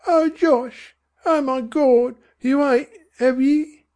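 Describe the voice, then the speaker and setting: A man's voice: extremely high pitch, steady tone, measured pace, creaky, a little mellow, pitched above the performer's own voice, heavily acted. A man voicing an anxious working-class London mother, whimpering and pleading. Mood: frightened.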